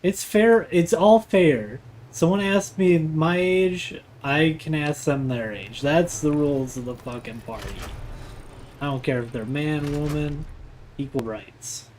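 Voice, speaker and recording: A man's voice: on the high side, measured pace, darkish tone. Joking young man on a noisy stream. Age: late twenties. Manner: joking, teasing, profane. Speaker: game streamer. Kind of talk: casual chat while gaming on a livestream. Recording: clearly noisy stream audio.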